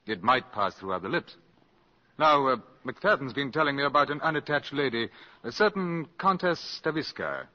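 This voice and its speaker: Casting: suave British spy-catcher, forceful tone, politely probing; muffled old radio sound. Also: male voice, medium pitch, lively intonation, normal speed. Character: shrewd, courteous male British counter-espionage agent. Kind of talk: 1950s radio drama acting. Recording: muffled, reverberant 1950s radio broadcast.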